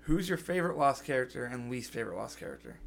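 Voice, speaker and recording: A man chatting casually as a podcast co-host, his voice rough and gravelly because he has been sick. He rambles, circles back and restarts his sentences. A faint hum of noise sits under the talk. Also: medium pitch, big pitch swings, fast talker. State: scratchy voice from being sick. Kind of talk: casual chat.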